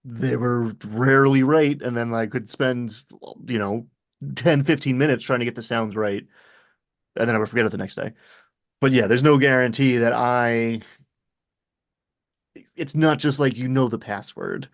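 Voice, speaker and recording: A man talking casually as a game master, telling stories in an animated way and acting out little asides. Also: mid-range voice, normal speed, pauses often. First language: English. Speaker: game master discussing his tabletop games. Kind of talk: casual conversational chat.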